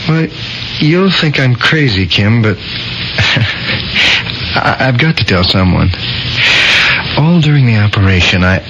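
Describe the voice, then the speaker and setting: An American actor playing an earnest, sentimental young surgeon in a radio drama, speaking haltingly. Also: male voice, medium pitch, lively intonation, background noise. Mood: shaken confiding. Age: young adult. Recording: muffled radio recording with music behind.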